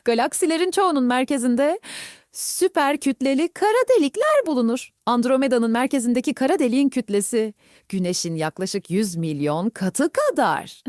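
A woman's voice: sits high, sing-song, moderate pace, darkish tone, no room sound, studio quality, pitched above the performer's own voice. A woman voicing a friendly, cute robot guide who patiently explains things to a child. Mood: explaining.

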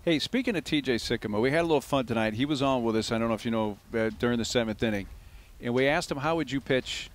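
A man hosting a sports broadcast as play-by-play announcer, with smooth scripted lead-ins. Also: medium pitch, fast talker, few pauses.

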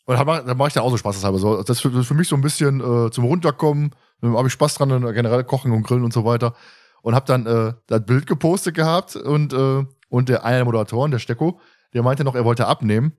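A man's voice: medium pitch, quick pace, darkish tone. Man chatting casually as podcast host.

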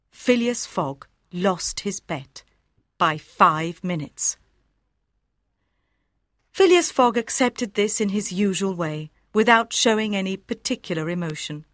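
A woman reading scripted story narration for learners in a measured, clearly enunciated way. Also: medium pitch, dry recording, clean studio sound. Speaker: audiobook narrator.

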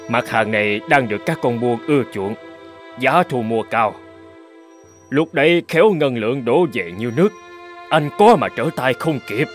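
A man playing a big, crafty fur trader in an animated folk tale, with a measured, drawling, persuasive delivery. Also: mid-range voice, close to an everyday voice. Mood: enticing. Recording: music behind the voice.

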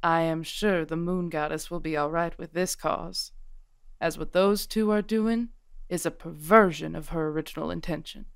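A woman plays a perceptive witch who advises with calm care. Her voice sits on the low side and is recorded dry. She speaks in a measured, earnest way, as in an audio roleplay. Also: gravelly, clear, resonant tone, dry recording. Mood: solemn, assured. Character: calm, perceptive female witch ally granting blessings and charms. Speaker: voice actor.

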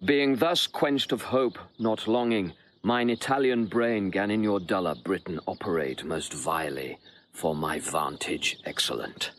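An actor playing a sly, boastful Italian villain, theatrical and deliberate in a Shakespearean audio drama. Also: male voice, low voice, slight background noise. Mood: sly confessing.

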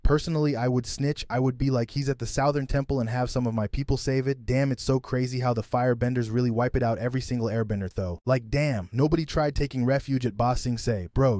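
A man's voice: mid-range voice, mellow, no room sound. A man talks through a casual commentary voice-over, dropping slangy, joking asides between plot summary. His voice sits in an ordinary middle range. The recording is dry and close, with no room sound. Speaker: commentary video narrator.

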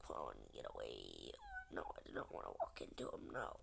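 A young gamer with a stuffy, congested nose, chatting casually and often half-whispering while playing a game. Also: female voice, rumbling low, huge pitch swings, fairly quick, creaky, slight background noise. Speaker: gaming channel host. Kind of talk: casual gameplay commentary.

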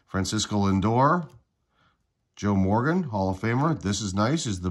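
A congested middle-aged man getting over a cold, casually running through trading card packs on his channel at a table indoors. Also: on the low side. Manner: relaxed, rattles off player names. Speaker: card-collecting channel host. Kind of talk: casual pack-opening commentary.

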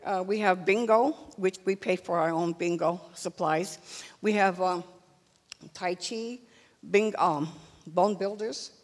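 A woman's voice: lowish voice, slow pace. Hesitant older woman in a reverberant hall. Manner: hesitant, many fillers. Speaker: senior group representative. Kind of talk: unscripted remarks at a public meeting. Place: large meeting hall. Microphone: handheld mic.

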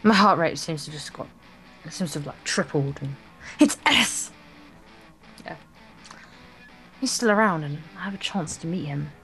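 A woman's voice: low-pitched, expressive, normal speed, long pauses. A woman co-hosting a let's play, reading a visual novel aloud with playful, casual banter. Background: soft guitar music.

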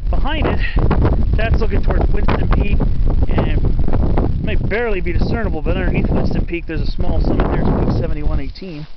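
A man giving casual, unscripted commentary on a hike, halting and thinking aloud as he goes. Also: high voice. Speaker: hiker narrating his own hike. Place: outdoors on a forested mountain slope. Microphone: handheld camera mic. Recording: muffled camera audio, loud wind noise.

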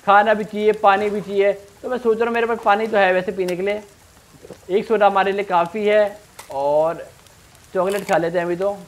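A young man giving casual let's play commentary, thinking aloud and repeating himself as he plays. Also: high voice. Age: young adult. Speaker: gaming streamer.

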